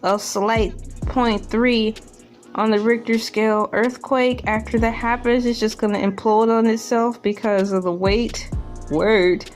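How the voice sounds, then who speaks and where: Slow, high-voiced woman with sarcastic delivery. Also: steady tone. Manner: sarcastic, joking asides between read lines. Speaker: online video creator reacting to a news article. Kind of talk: humorous read-aloud commentary on news. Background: background music.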